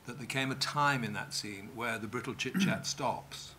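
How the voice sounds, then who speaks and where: An older man, a director, speaking enthusiastically with halting restarts on a stage panel, into a table mic. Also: medium pitch, bright, forceful tone, a little hiss or hum. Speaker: television director.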